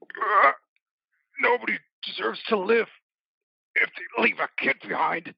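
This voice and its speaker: An actor in an audio play voicing a guilt-ridden older biker man who speaks haltingly in a gravelly, husky, strained voice. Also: sits high, dry recording, dull audio, full character voice. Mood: strained defiance. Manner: halting, strained, drawn-out delivery.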